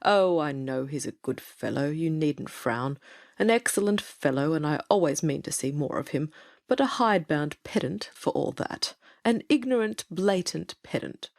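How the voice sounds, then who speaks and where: A genial Victorian doctor of fifty, played by a woman speaks in a low register, close and dry. The delivery is airy, condescending and opinionated, in audiobook drama style. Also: animated, normal speed, vocal fry, a little mellow, no echo. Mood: gaily dismissive. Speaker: audiobook cast reader. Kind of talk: dramatic reading of a Victorian novel.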